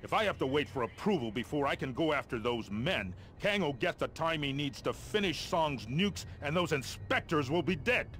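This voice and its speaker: A blunt American army colonel. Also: male voice, on the high side, expressive, normal speed, few pauses, a bit bright, a little hiss or hum. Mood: stern, impatient. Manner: blunt, commanding, wry. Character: gruff, impatient American army colonel, middle-aged man. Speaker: military officer giving mission briefings. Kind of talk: video game mission briefing dialogue.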